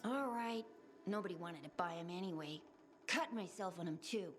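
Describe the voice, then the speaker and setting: A woman's voice: lowish voice, normal speed, over music, lightly acted. A woman acting a defiant, haggling, hard-up street seller in a video game. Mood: grudging.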